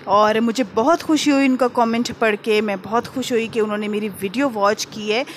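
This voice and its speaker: A woman with an Urdu-speaking Pakistani accent talks into her phone at home, friendly and full of little fillers. Her voice sits a little high. Some faint household noise runs underneath. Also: steady tone, moderate pace. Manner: chatty and warm, filler-heavy "jī". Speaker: vlogger, channel host. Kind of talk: casual home vlog talk to viewers. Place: home kitchen and living room, indoors.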